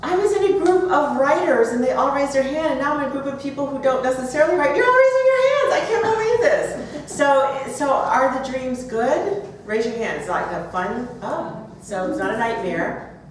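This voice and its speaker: A woman's voice: sits high, lively intonation, normal speed. A woman author speaking and reading aloud at a live event. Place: bookstore, audience event. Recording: roomy, distant, noisy live event recording.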